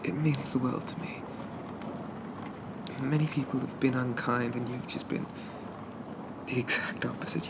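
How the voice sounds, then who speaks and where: A man playing a shy, polite, lovestruck Victorian zombie gentleman, speaking softly and haltingly with flowery apologies in an ASMR roleplay. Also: medium pitch, vocal fry, heavy background noise, close to an everyday voice. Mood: grateful, gentle. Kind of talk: ASMR roleplay, soft-spoken character monologue.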